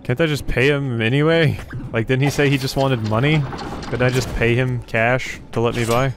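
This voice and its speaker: A man's voice: mid-range voice, steady tone, normal speed. A man giving casual let's play commentary, thinking aloud as he plays. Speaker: gaming video host. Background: game music.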